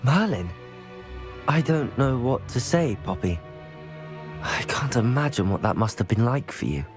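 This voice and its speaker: A man's voice: medium pitch, very animated, a little slow, music in the background. An actor, a man, playing a supportive young student of magic in a video game, with a considerate, polite manner. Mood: sympathetic. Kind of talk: video game cutscene acting.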